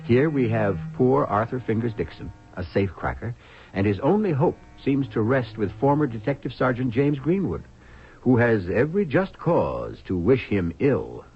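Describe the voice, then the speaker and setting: A man hosting a radio drama with measured, theatrical scripted narration, posing rhetorical questions in a ruminative way. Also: medium pitch, very animated. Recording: dull lo-fi radio broadcast with music. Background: music.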